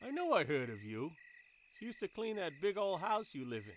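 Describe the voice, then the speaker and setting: A man's voice: high-pitched, very animated, moderate pace, slight background noise. A man playing a tough, cocky young gang leader in an audio drama, with streetwise, swaggering talk. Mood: curious.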